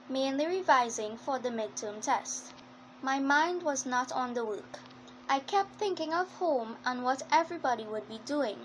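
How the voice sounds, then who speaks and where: A woman's voice: high voice, a little hiss or hum, low-quality recording. A woman reading scripted first-person story narration in the role of a schoolgirl. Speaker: narrator, speaking as a schoolgirl character.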